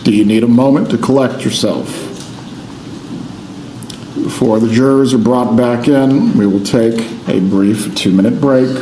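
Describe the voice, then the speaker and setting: A male judge with an American accent speaks formally and deliberately in a mellow, relaxed tone. His voice carries the roomy echo of a courtroom, with faint noise underneath. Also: mid-range voice. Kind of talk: formal courtroom proceedings, reading a verdict. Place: indoor courtroom.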